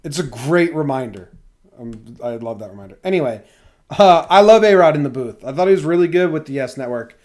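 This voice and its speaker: A man's voice: on the high side, expressive, a little fast, a little mellow. A man with an American accent hosting a podcast, talking casually about sports. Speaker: podcast host.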